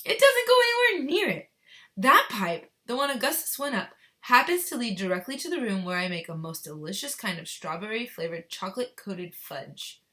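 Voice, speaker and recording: A woman voicing an eccentric, excitable gentleman with an emphatic, theatrical manner in a children's storybook read-aloud. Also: on the high side, normal speed, voice pushed up. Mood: explaining grandly. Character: eccentric, excitable gentleman reassuring with grand words.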